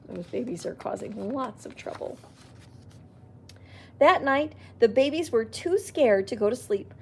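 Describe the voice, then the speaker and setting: A woman reading a picture book aloud to children, expressive, with little exclaimed asides. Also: high-pitched, husky. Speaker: children's library storyteller.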